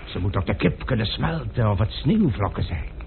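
An actor playing an unflappable, food-loving manservant with a fussy, deferential way of talking, in old Dutch radio drama style. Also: male voice, fairly low pitch, moderate pace. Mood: fussy, at ease. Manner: fussy, expressive, obsequious to his master. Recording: tinny, noisy old radio play recording.

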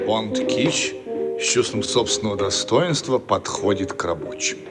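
A male narrator reads scripted stage directions for a radio drama. His fairly low voice moves with lively intonation while music plays loudly behind him. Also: a little slow. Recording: archive radio play with loud music.

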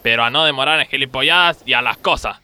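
Man playing a stern referee with a sharp, clear voice. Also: medium pitch, fast. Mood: calm mediating. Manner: authoritative, mock-formal. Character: stern, fussy male referee moderating a childish dispute. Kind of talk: comic radio play acting.

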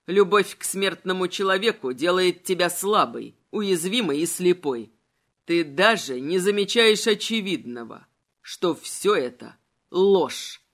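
A cold male immortal villain from a fantasy audiobook, acted out by a woman. The voice drops low and moves with slow, insinuating mockery. Every phrase is measured and manipulative. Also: lively intonation, fairly bright tone, exaggerated voice. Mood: insinuating, contemptuous. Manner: insinuating, unhurried, mocking.

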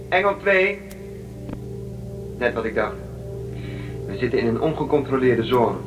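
A man's voice: medium pitch, dull audio, natural voice. Casting: male actor as rebellious young spaceship fugitive, urgent and protective, radio-play delivery, distant mic, music behind. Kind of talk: Dutch radio drama acting.

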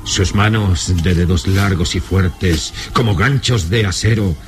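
A man narrating a gothic adventure radio serial in a solemn, suspenseful manner with deliberate phrasing. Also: deep voice. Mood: solemn, ominous. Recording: old radio serial with dramatic music. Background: dramatic music.

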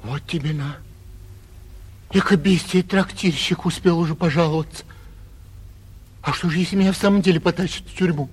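A man playing a vain, petulant, cowardly young gentleman in a 1940s theatrical radio play, whining and indignant in a husky voice, with long reverb on the old recording. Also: a little high, moderate pace, long pauses. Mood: anxious bluster. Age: young adult.

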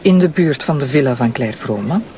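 An actor playing a mild, methodical, bemused police sidekick with a Flemish accent in a radio drama. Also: male voice, mid-range voice, animated, a little fast, darkish tone, a little hiss or hum, natural voice. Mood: puzzled.

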